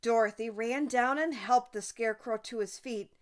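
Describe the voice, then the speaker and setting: A woman reading a children's story aloud as an audiobook narrator, expressive and deliberate. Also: a little high. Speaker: audiobook reader.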